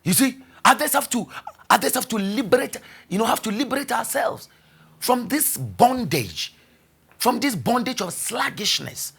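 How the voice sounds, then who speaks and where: A man preaching a sermon as pastor, repeating key phrases, asking the congregation rhetorical questions and building to loud exhortation. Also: very high voice, very animated, moderate pace, gravelly, slightly rough.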